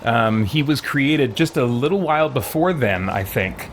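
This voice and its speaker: A man with a mid-range voice hosts a game livestream in an informal, chatty way. He rambles and thinks out loud as he talks. Clear noise sits behind his voice. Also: medium pitch, animated, a little slow. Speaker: live streamer hosting a game playthrough. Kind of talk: casual livestream chat.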